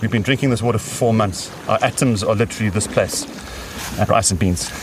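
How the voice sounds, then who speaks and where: A man's voice: on the low side, brisk, background noise. A man talking unscripted as an expedition member, recorded outdoors on a river boat. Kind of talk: unscripted field talk. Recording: distant field recording with music over.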